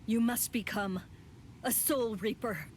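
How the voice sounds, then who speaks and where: A woman voicing a stern, composed female spirit warrior in an anime game dub, with formal, measured and commanding delivery. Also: on the high side, slight background noise. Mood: grave, measured. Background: background music.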